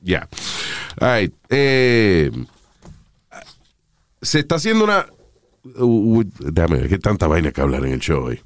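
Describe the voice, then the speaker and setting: A male host riffs loosely through the show's talk, joking and swearing as he goes. His pitch swings widely from line to line. The sound is dry and close, with no room around it. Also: sing-song, unhurried, long pauses, no echo. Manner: rambling, joking, crude. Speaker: show host. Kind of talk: casual studio banter.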